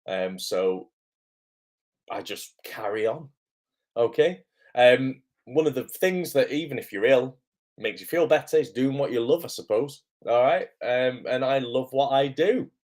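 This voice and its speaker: A man whose voice is hoarse and a bit croaky from being ill talks casually to his livestream viewers as an acting coach. He keeps a chatty flow, dotted with "um" and greetings by name. His voice sits at an ordinary middle pitch. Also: expressive, measured pace, darkish tone. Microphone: camera microphone.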